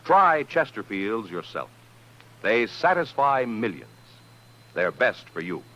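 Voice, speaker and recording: A man's voice: fairly low pitch, big pitch swings, takes it slowly, a bit bright, slight background noise. A man delivering a 1950s radio advertisement with an emphatic, polished sales delivery. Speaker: commercial announcer. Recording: dull vintage radio recording.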